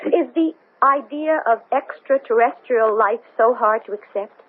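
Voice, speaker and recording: An actress playing a desperate, intense woman astronaut in a 1970s radio drama, speaking urgently with a husky voice. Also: fairly high pitch, unhurried, narrow, tinny audio. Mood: insistent. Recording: thin, band-limited 1970s radio broadcast.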